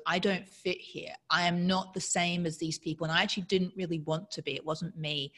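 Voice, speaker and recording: A woman with a slightly low voice talks through her interview answers at a thoughtful, easy pace. She grew up in Australia, and she speaks fluently while often slipping "like" into her sentences. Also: a bit bright. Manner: reflective, fluent, frequent "like" fillers. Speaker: guest speaker.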